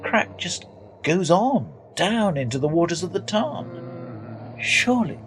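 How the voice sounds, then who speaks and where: An apprehensive gentleman traveller, speaking slowly with wide swings of pitch. He is polite but halting and exclamatory, in period radio drama style. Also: very animated, a little hiss or hum, heavily acted. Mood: alarmed. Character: uneasy gentleman traveller, polite, easily unnerved.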